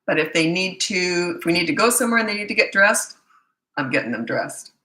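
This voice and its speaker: Middle-aged woman, parenting coach on a live stream, voice going hoarse, bright and forceful tone in an echoey room. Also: on the low side, animated, brisk. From Canada. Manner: direct and no-nonsense, with frequent fillers and restarts. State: hoarse, losing her voice. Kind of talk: live-stream Q&A answering viewer comments.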